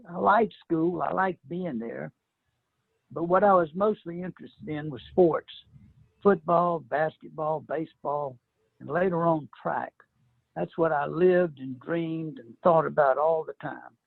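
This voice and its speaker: A man's voice: on the high side, a little slow, creaky, no room sound, narrow, tinny audio. An older man from an immigrant family, telling a panel about his school days in a reflective, reminiscing way. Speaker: panel guest. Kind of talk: personal storytelling.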